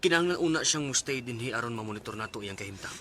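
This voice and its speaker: A man's voice: mid-range voice, expressive, very fast, bright, forceful tone, slight background noise. A Filipino man with a Cebuano accent playing a serious, matter-of-fact doctor in a radio drama. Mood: grave, informative.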